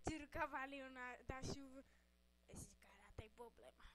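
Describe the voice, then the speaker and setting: A woman recording a casual gaming video, trading loud slang-filled jokes and teasing the others. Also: high-pitched, normal speed. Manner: loud teasing slang-heavy banter with frequent laughs. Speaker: video maker. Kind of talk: casual joking chat while recording gameplay. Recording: very noisy home recording.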